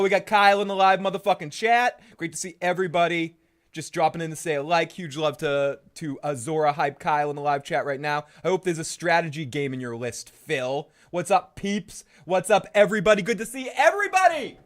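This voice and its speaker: A middle-aged man with a Boston accent hosts a casual live stream, rambling and cursing with excitable outbursts, saying he is sick. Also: on the high side, fairly quick. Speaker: podcast host.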